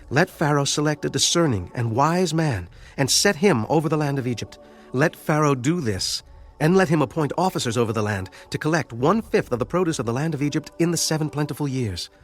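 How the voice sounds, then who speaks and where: A man playing an earnest, wise young Hebrew interpreter of dreams, speaking in a measured, solemn way for an audio Bible drama. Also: medium pitch, close to an everyday voice.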